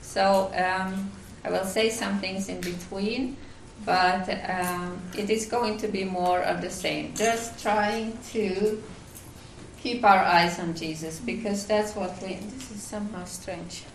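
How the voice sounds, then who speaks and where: A woman from Finland with a Finnish accent, giving a devotional talk at a retreat, halting with many fillers and restarts. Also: mid-range voice, steady tone, roomy sound, distant mic, slight background noise. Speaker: retreat speaker.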